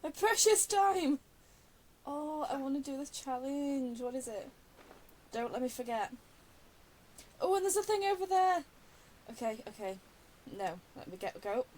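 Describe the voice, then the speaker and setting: A high-pitched woman streams a game, chatting casually and playfully to herself. She speaks at a slow pace, with faint hiss behind her. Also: animated, darkish tone. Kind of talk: casual gameplay commentary.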